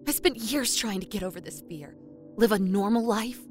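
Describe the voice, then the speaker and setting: A woman's voice: medium pitch, normal speed, natural voice. Wary young woman voiced by an actress, cool and terse, horror audio drama, music bed. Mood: guarded, skeptical.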